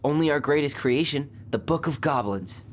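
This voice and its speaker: An actor voicing a nostalgic, easygoing young adult twin brother in a naturalistic video game style, dry and gently teasing. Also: male voice, fairly high pitch, lively intonation, moderate pace, close to an everyday voice.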